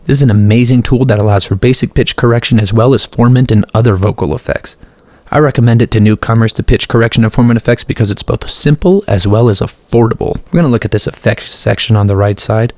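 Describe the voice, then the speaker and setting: A man's voice: mid-range voice, fast talker, darkish tone, dry recording. A man hosting a tutorial in a scripted narration style. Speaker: tutorial host.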